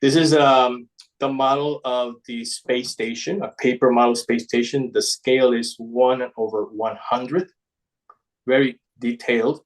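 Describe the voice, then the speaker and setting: A man with an Ecuadorian Spanish accent giving an informal slide presentation, speaking haltingly and often restarting his words. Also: medium pitch, fairly even intonation, low-quality recording. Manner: halting, with repeated word restarts. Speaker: guest presenter, paper model designer.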